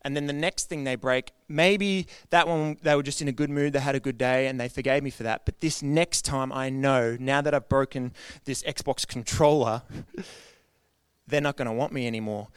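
A man's voice: mid-range voice, fairly even intonation, moderate pace, clean tone, no echo. A man preaching a sermon to his church in an earnest, conversational way, often asking the congregation questions. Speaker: pastor.

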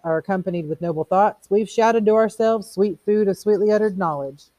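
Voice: medium pitch, fairly quick, warm tone, no room sound, voice pushed up, natural voice. A reader voicing a mocking, erudite male fire captain who declaims quotations theatrically in a storybook reading. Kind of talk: audiobook character reading.

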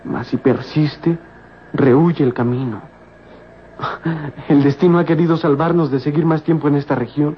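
A fairly high male voice, an actor's, plays a loyal young companion in a vintage radio adventure. He sounds respectful and earnest, a devout servant addressing his master. The recording is dull with some hiss. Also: normal speed, long pauses, natural voice. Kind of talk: old radio serial acting. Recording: muffled, noisy old radio serial recording.

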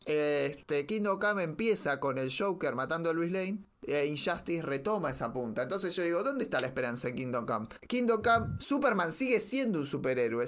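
A man's voice: a little high, fairly bright tone. A man with a Rioplatense Spanish accent debating casually as a podcast panelist, in a questioning, argumentative way. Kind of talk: casual comic-book debate.

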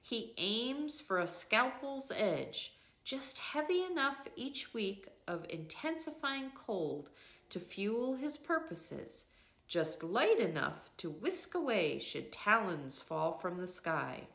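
An older woman narrates a literary piece at a slow, careful pace. Her pitch rises and falls freely with expressive phrasing. The recording is roomy and echoing, like a home reading. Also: vocal fry. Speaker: reader of a nature devotional book. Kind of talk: scripted narration, literary read-aloud.

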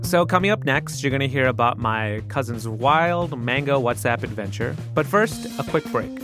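A man hosting a podcast, telling stories in an animated way and laughing often. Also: mid-range voice, normal speed, over music. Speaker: podcast host. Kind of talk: casual interview chat.

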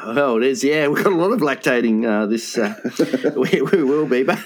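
A man's voice: animated, normal speed. A man co-hosting a comedy podcast, telling rambling stories with frequent laughs and restarts. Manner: rambling, giggly, puts on high voices for quotes. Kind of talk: improvised comic banter.

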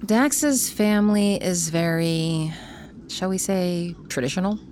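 Composed professional, lowish pitch, measured, naturalistic radio play dialogue, faint noise behind. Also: female voice, darkish tone. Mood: calm, guarded. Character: composed, discreet professional woman, calm and sympathetic. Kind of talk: naturalistic audio drama dialogue.

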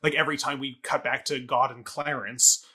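A man's voice: mid-range voice, steady tone, normal speed, sharp tone, slightly rough. A man co-hosting a theatre review podcast, talking casually in rambling, hedged, self-correcting sentences.

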